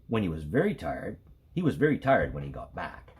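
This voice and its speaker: A man reading a children's storybook aloud as a teacher, in an expressive narrating style. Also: low voice, brisk, breathy edge.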